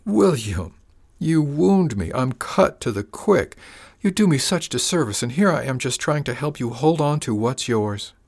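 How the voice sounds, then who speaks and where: A man's voice: medium pitch, lively intonation, measured pace, creaky, voice pushed up. A man voicing a falsely friendly male gang boss who drawls with oily, mock-wounded charm. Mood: smarmy menacing.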